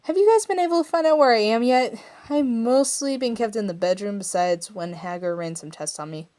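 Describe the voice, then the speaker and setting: A woman's voice: on the high side, a little fast, warm tone, lightly acted. A woman voicing a sassy, defiant young male captive hero in a story reading, speaking emphatically. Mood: exasperated.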